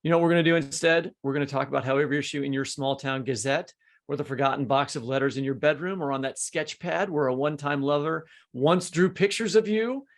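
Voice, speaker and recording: A man's voice: fairly high pitch, quick pace. A middle-aged man hosting a podcast in an informal, joking, conversational way, with plenty of words. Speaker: podcast host.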